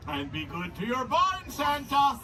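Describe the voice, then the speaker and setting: A man's voice: extremely high pitch, huge pitch swings, measured pace, sharp tone, full character voice. A man playing a jolly old barn-dwelling Santa, talking theatrically with chuckles to a crowd of kids. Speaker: costumed character performer. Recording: distant and noisy.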